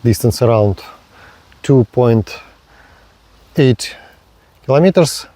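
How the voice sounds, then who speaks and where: Slow-talking man narrating a radio test. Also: medium pitch, animated, dark tone, dry recording. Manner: halting short phrases, repetitive. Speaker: radio hobbyist presenting a range test. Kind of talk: simple step-by-step vlog narration.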